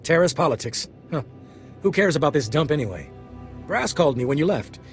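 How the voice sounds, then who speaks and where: A casual, irreverent soldier from a sci-fi game. His voice is slightly high. Music plays faintly behind him. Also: big pitch swings, brisk. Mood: offhand. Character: breezy, irreverent male Republic soldier. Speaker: voice actor in a video game. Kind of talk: scripted game cutscene dialogue.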